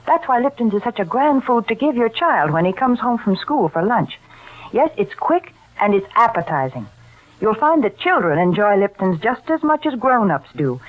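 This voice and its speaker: A woman announcer reading sponsor spots on 1940s radio with chatty, polished salesmanship, on a tinny old recording. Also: on the high side. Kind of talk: 1940s radio advertising read.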